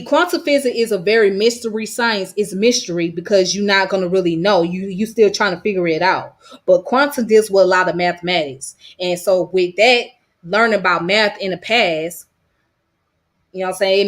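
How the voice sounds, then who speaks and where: A woman from Georgia with a strong Georgia Southern accent, talking loosely to camera in a casual vlog, her voice a little worn, full of "you know what I'm saying" fillers. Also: mid-range voice. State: voice slightly worn. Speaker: vlogger. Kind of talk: casual rambling monologue.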